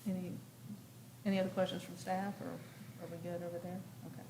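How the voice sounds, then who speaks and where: A woman's voice: fairly low pitch, flat delivery, brisk, creaky, noisy background. An American woman chairing a formal public meeting, moving through procedure and announcements.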